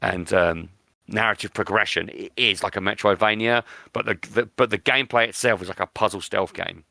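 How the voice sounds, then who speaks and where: A man's voice: on the low side, steady tone, brisk, vocal fry, bright, forceful tone, no room sound, studio quality. A British man chatting casually as a podcast host, enthusiastic and often repeating words for emphasis. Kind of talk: casual chat.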